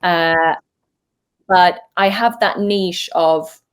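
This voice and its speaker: Young woman, Norwegian accent, lower register, loose chatty interview delivery with restarts. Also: extremely slow. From Norway. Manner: chatty, with many fillers and restarts. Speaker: voice actor guest. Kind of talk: casual interview chat. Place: home voice booth.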